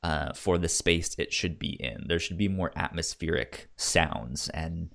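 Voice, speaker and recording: A low-pitched male podcast host chatting casually about films. He stammers often, doubling back on syllables and words as he talks in an informal, conversational way. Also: measured pace, creaky, a bit bright. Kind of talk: casual film review chat.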